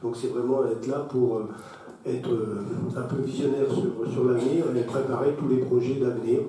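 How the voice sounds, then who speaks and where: A man answering at a council meeting, explaining in halting, repeated phrases. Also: medium pitch, steady tone, dark tone. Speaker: council official answering questions. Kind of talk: spontaneous explanation in a formal meeting. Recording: very echoey hall, distant mic.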